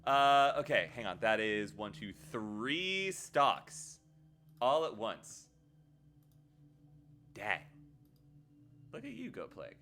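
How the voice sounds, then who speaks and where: Playful, animated male streamer. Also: slow, long pauses, soft background music. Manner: playful and animated, with sudden excited jumps in pitch. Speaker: livestream host. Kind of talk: casual live stream chat.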